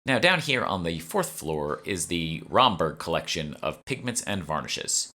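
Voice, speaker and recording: A man's voice: low-pitched, big pitch swings, unhurried, pushed and bright, full character voice. A man voicing a polite, earnest woman curator who explains things courteously in an audiobook. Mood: guiding. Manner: courteous, informative, eager to please. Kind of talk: audiobook character dialogue.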